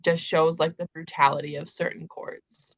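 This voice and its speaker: A woman's voice: deep voice, level tone, moderate pace, no room sound. A woman hosting a casual book discussion, retelling in an emphatic, conversational way peppered with filler words.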